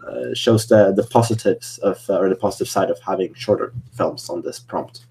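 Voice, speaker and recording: Husky low-voiced man, casual chat. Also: a little fast, mellow. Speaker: live stream co-host or guest. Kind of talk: casual live stream chat.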